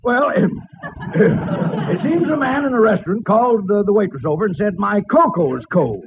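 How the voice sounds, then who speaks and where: An actor playing an irritable, self-important joke teller at a lodge party, testy and laboured, in 1940s radio comedy style. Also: male voice, sits high, animated, moderate pace, narrow, tinny audio, crowd noise. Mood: determined storytelling. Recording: thin, noisy old radio recording.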